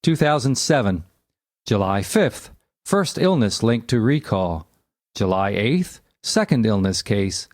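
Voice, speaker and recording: A man narrating an encyclopedia article aloud in a measured, factual, scripted delivery. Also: lowish voice, big pitch swings, dry recording, clean studio sound.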